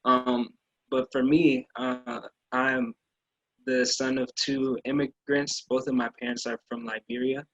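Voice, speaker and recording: A man's voice: mid-range voice, little pitch movement, a little slow, no echo, dull audio. A young man from Minnesota, a student athlete, answering earnestly and reflectively in an interview. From Minnesota, USA. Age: young adult. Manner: earnest, reflective, speaks in building lists.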